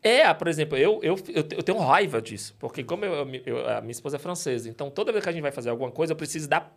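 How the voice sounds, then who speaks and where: A man from Ceará, a podcast guest talking in an animated, emphatic, exasperated way. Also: fairly high pitch, rapid-fire, vocal fry. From Ceará, Brazil. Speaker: guest, payments company director. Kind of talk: casual podcast interview.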